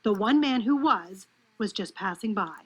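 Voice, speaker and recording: A woman's voice: medium pitch, animated, moderate pace, husky. A woman with an American accent narrating a scripted television news report.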